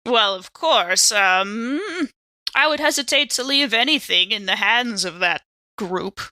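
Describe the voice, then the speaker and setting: Woman playing bright-voiced, guarded female ex-convict. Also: medium pitch, lively intonation, a little slow, dry recording. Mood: wary, dry. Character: Maisie, guarded, defiant female ex-convict saboteur. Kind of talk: improvised tabletop roleplay.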